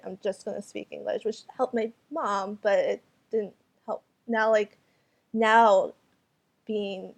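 A young woman artist, Cantonese her first tongue, answers in English at an unhurried, thoughtful pace. She hesitates often and restarts her sentences while she searches for the right words. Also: medium pitch, a little mellow. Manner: hesitant, many fillers and restarts. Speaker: artist and photographer. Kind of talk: interview answers.

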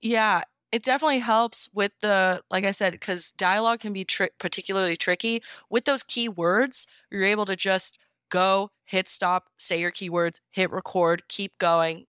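Smooth, dry-sounding woman chatting casually. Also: medium pitch, fairly even intonation, normal speed, fairly bright tone, no room sound. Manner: enthusiastic, informal, encouraging. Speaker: podfic narrator being interviewed. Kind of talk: casual interview chat.

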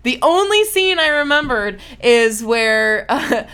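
A woman in her twenties with a very low voice, chatting casually as a podcast co-host, full of "like" fillers. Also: big pitch swings, slow pace, fairly bright tone, clean tone. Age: 20s. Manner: chatty, lots of "like" fillers, laughs easily. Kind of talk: casual chat reviewing a film.

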